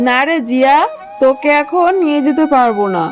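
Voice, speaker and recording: Woman voicing a high-pitched gentle jackal mother. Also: lively intonation, a little fast, faint music behind. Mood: worried, explaining. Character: poor, gentle, obedient mother jackal, a hardworking maid. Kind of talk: children's cartoon dubbing.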